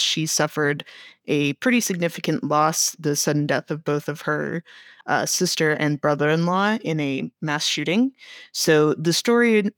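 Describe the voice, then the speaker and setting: A woman's voice: deep voice, dry recording, studio quality. A woman speaking as an interview guest, explaining her creative work in a casual conversational way.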